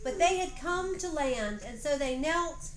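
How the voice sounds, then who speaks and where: Slow, high-pitched woman storyteller in echoey room. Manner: expressive read-aloud storytelling, deliberate phrasing. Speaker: narrator of a homemade children's history play. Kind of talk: storybook narration for an amateur play, with informal stage directions. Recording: roomy echoey home recording, faint noise.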